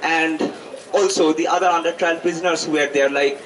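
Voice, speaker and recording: Higher-pitched young Indian man, halting public speaker. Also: steady tone. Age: 20s. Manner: halting, reflective, academic wording with frequent rephrasing. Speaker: student activist giving a public address. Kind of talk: political speech, partly improvised. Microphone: handheld microphone held close to the mouth. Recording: distant mic, noisy live event sound.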